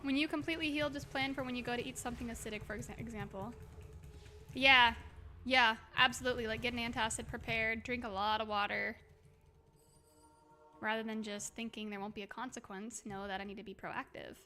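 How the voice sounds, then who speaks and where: A woman chatting casually on a game livestream, expressive and affectionate, reading messages aloud, with a slightly hoarse voice. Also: a little high, fairly quick. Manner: expressive, exclaims often, gushes affectionately. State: slightly hoarse, by own account. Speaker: livestream host. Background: music.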